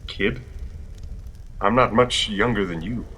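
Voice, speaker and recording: A man's voice: lowish voice, takes it slowly, sharp tone, a little hiss or hum. An actor playing an indignant male adventurer in a fantasy audio drama.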